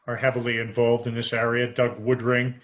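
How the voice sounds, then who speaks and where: A man's voice: medium pitch, completely flat delivery, fairly quick. A man hosting an interview over a video call, often repeating words as he starts his sentences. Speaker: host, interviewer. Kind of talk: interview conversation. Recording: dull lo-fi sound.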